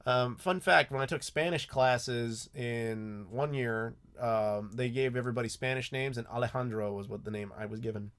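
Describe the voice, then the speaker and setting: A man's voice: mid-range voice, normal speed, hardly any pauses, fairly bright tone, smooth. American guy chatting on a live stream, lots of ums, mid voice, keyboard clacking now and then. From United States. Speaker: YouTube host answering live chat. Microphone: desk mic with clacking mechanical keyboard.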